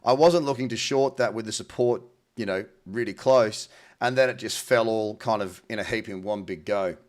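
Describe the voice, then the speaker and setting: A man's voice: lowish voice, normal speed. A man with a slightly nasal, head-cold voice, giving informal, matey market commentary as the host of a trading show. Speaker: host, crypto trading analyst. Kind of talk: casual market commentary to camera.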